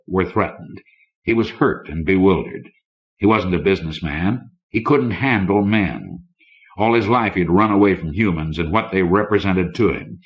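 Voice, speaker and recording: Very deep-voiced male audiobook narrator. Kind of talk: scripted fiction narration. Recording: dull lo-fi old cassette recording.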